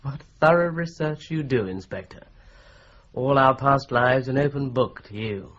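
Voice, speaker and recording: A male solicitor with a wry, lightly ironic way of talking. His voice sits at a middle pitch. The sound is dull, like an old broadcast. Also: a little slow, a bit bright. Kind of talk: radio drama acting.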